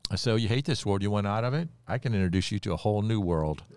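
A man's voice: fairly low pitch, expressive, rapid-fire, darkish tone, smooth, no room sound. A man in casual conversation, earnestly telling his own story and acting out the dialogue in it. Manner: earnest testimony, acts out quoted dialogue.

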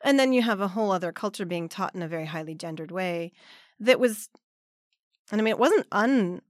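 A woman in her forties, raised in Canada, telling her story as an interview guest, lively and often laughing as she acts out quotes. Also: mid-range voice, fairly quick, no echo, clean studio sound. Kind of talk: casual conversational interview.

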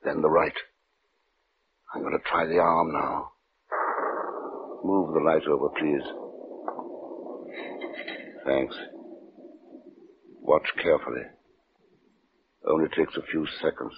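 An actor playing a driven, secretly frightened scientist, intense and insistent, in old-time radio drama style. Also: male voice, a bass voice, steady tone, slow pace, pauses often, a little hiss or hum, heavily acted. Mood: focused. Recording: muffled, phone-thin old radio recording.

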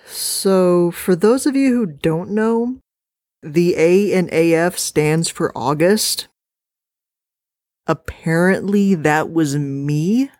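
A woman hosting a story podcast, making a wry, deadpan aside. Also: lowish voice, slow, dry recording, studio quality. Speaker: podcast host. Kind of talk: casual aside.

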